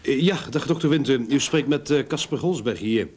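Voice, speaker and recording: Actor as lively, jovial male private detective. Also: mid-range voice, normal speed, natural voice. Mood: businesslike. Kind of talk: Dutch-language radio play acting. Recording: faintly noisy old radio drama recording.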